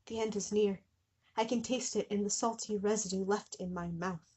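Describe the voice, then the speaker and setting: A woman's voice: mid-range voice, steady tone, normal speed, dark tone, breathy edge. A woman hosting a comedy show, reading fan fiction dramatically with incredulous, giggly asides. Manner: breaks into giggles and incredulous commentary.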